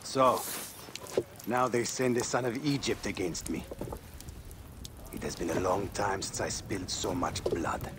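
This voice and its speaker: An actor playing a weary, guarded rebel fighter on the run, speaking in a measured, dramatic way in a game cutscene. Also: male voice, lowish voice, background noise, close to an everyday voice. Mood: wary, menacing.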